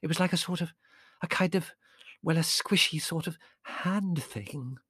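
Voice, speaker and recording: A fretful, frightened storybook woman played by a male reader, pitched well up and trembling. She sounds timid and easily terrified, with a tremulous shake in her words. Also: unhurried, fairly bright tone, husky, dry recording, studio quality. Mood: tearful, distraught. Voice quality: shaky, tremulous.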